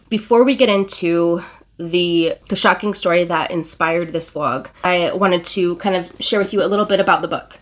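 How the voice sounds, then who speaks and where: Congested woman, earnest book vlogger. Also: on the low side. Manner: earnest, emotional, talks with fillers and self-corrections. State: congested, recovering from illness. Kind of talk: casual reading vlog.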